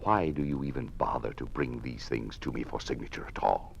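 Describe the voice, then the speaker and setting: Weary, deep-voiced, principled governor. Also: male voice, gravelly, lo-fi sound, a little hiss or hum. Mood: grave, defiant. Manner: earnest, measured oratory. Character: weary, principled male governor resisting a totalitarian regime. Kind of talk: old-time radio drama acting.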